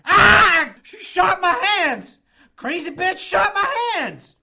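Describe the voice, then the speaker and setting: An actor playing a belligerent, hot-headed drunken redneck bar brawler in an audio drama. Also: male voice, very high voice, lively intonation, slow pace, creaky, heavy background noise, full character voice. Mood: screaming in pain.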